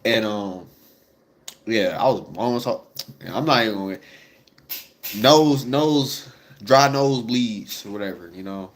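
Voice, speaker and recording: A man's voice: fairly low pitch, takes it slowly, long pauses, slight background noise. A congested young man chatting casually and rambling to his viewers, full of slang and fillers. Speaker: vlogger.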